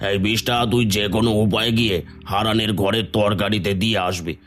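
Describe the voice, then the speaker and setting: A man's voice: lowish voice, fairly even intonation, fairly quick, lightly acted. A man voicing a greedy, vengeful village moneylender in a cartoon folk tale. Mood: scheming, resentful. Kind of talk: Bengali cartoon fairy-tale voice acting. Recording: music bed under the voice.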